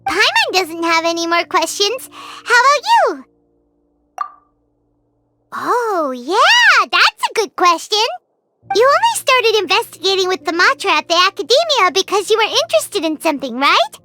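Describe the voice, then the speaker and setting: A woman's voice: very high voice, wildly swooping pitch, normal speed, pushed and bright, full character voice. A woman voicing a tiny, chatty fairy companion who refers to herself in the third person, in a game cutscene. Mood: excitable. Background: game music.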